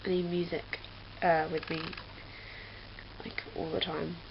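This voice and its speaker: A woman's voice: deep voice, flat delivery, unhurried, creaky. A young woman from England chatting casually as a vlogger, rambling with lots of fillers. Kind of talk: casual chat. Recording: dull lo-fi webcam audio with faint hiss.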